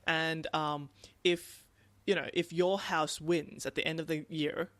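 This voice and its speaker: A man casually discussing a book as a podcast host, halting and repeating words, often checking in with "right?". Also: fairly high pitch, flat delivery, fairly bright tone, dry recording.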